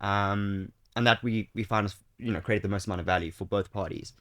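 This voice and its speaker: A young man with a South African accent and a fairly low voice, speaking as a guest on a podcast. He talks in a relaxed, chatty way, full of fillers and restarts. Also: brisk. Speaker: guest, startup founder. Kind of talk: casual interview chat.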